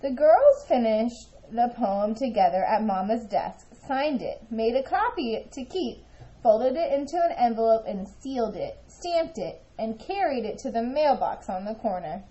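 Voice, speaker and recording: A woman reading a children's story aloud in an expressive, animated way, acting out the dialogue. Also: fairly high pitch, a little slow, muffled recording. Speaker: storybook reader.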